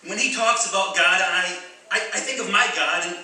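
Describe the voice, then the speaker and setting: Man playing a high-voiced, halting GI. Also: pushed and bright. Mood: earnest, hesitant. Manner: hesitant, halting. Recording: very echoey hall, distant mic, faint noise.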